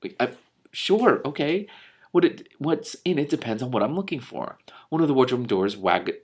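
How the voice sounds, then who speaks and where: A man reading a storybook aloud as host, breaking in with casual, animated and incredulous asides. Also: mid-range voice, normal speed.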